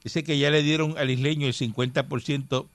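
A man's voice: medium pitch, steady tone, brisk, gravelly, dry recording. A man from Cuba hosting a casual radio talk show, rambling and emphatic with many fillers. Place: radio studio.

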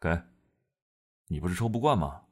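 A man's voice: sits low, animated, moderate pace, slightly rough. An actor playing a young, reserved male general who speaks tersely in a modern audio drama. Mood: dry, familiar.

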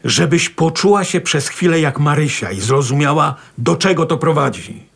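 An actor playing a middle-aged husband and father in a naturalistic radio drama, husky and reproachful, with pointed, probing questions. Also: fairly high pitch, lively intonation, moderate pace, fairly bright tone, heavily acted. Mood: insistent, pointed. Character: calm, needling husband and father of a teenage daughter.

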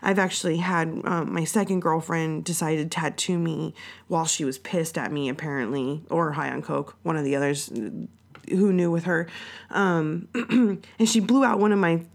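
A woman hosting her own podcast, raspy and hoarse from being sick, rambling and swearing casually. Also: low voice, steady tone, normal speed. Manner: rambling and profane, lots of fillers. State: sick, hoarser than usual. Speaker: podcast host. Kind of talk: casual solo podcast chat.

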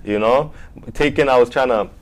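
A man's voice: medium pitch, normal speed. A man hosting a casual podcast chat, talking in laid-back slang and asking his guests lots of questions. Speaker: podcast host.

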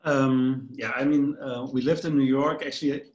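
A man's voice: mid-range voice, steady tone, normal speed, a little mellow, roomy sound, dull audio. A man with a German accent, a guest in a casual conversation, talking in a rambling, hesitant way with many fillers.

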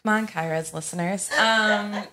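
A young woman from Sweden, a podcast guest, telling her family story in a relaxed, casual way with frequent ums and uhs. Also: mid-range voice, a little slow, a little hiss or hum.

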